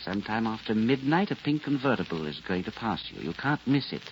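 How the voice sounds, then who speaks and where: Dry sarcastic mob henchman, low voice, swinging intonation, vintage radio crime drama. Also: big pitch swings. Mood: coolly businesslike. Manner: dry, sardonic, measured. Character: smooth, sarcastic male gangster henchman, coolly menacing. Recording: muffled, noisy old radio recording.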